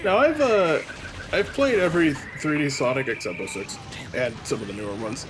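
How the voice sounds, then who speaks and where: A man's voice: fairly high pitch, animated, moderate pace, noisy background. A man giving casual, joking game commentary in a sarcastic, mocking way. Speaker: let's play commentator. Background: loud game music.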